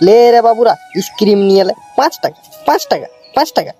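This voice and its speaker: An actor with a rural Purulia Bengali accent voicing a brash, stubborn village ice-cream hawker in a comedy cartoon, teasing and repeating singsong street calls. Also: male voice, high voice, sing-song, quick pace, mellow, slightly rough, full character voice. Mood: hawking, coaxing. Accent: rural Purulia, West Bengal. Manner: repetitive singsong street-vendor calls, scolding banter. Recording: music behind the voice.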